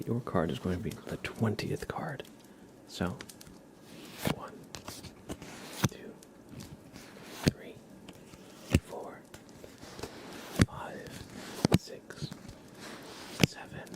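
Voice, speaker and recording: Low, whispering male ASMR streamer. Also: very animated. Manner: gentle, unhurried delivery addressing listeners directly. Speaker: ASMR livestream host.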